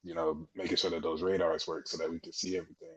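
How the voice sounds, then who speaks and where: A man's voice: low-pitched, little pitch movement, fast talker. A man in his twenties giving casual interview answers in an explanatory, conversational way, as a guest on a podcast. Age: 20s. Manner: explanatory, conversational, frequent "you know". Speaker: podcast guest, air traffic controller trainee. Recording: dull, muffled lo-fi audio.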